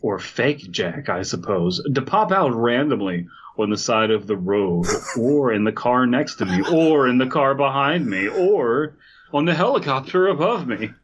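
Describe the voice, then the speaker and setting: A man with a mid-pitched voice co-hosts a comedy podcast. He riffs casually and reads stories aloud with a joking manner. The sound is slightly dull and lo-fi. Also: lively intonation, a little slow, a little hiss or hum. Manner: jokey, riffing, often breaking into laughter. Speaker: podcast co-host. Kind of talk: casual comic banter mixed with story reading. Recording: dull, lo-fi audio.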